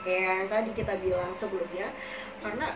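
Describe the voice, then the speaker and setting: A young woman chatting casually, hoarse and coughing, rambling with many fillers as she tells her own experiences. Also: medium pitch, fairly even intonation, a little fast, over music. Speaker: podcast co-host telling her own experiences. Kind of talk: casual chat in Jakarta slang. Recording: echoey room, far from the mic, noisy.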